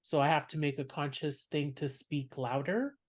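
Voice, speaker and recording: A man from Canada with a soft voice, a stand-up comedian speaking as a podcast guest in reflective, unhurried talk. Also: fairly high pitch. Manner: reflective, explains at length. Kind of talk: casual interview chat.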